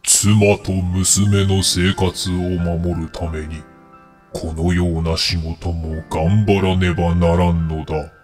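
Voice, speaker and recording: A man's voice: low voice, little pitch movement, a little mellow, faint music behind. A man playing a solemn, world-weary wish-granting dragon in a comedic voice drama, with pompous, formal speech. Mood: grave, weary. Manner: slow, pompous, formal pronouncements.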